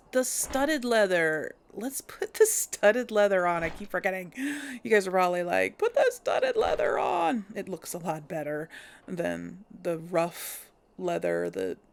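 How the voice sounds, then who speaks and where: A woman commentating on her own gameplay in a casual way, mulling things over aloud and often restarting her sentences. She talks slowly, with a gravelly fry in her voice. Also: medium pitch. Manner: thinking aloud, hesitant. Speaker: let's play host.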